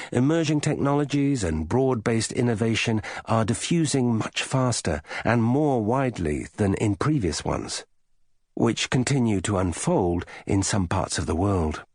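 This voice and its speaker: A man narrating a non-fiction audiobook in a measured, formal, carefully phrased way. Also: mid-range voice, darkish tone, slightly rough, no echo, studio quality.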